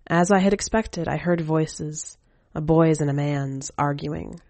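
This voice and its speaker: A woman's voice: sits low, unhurried, a little mellow, no echo. A woman reading a scripted first-person short story as a podcast narrator. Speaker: podcast editor and story reader.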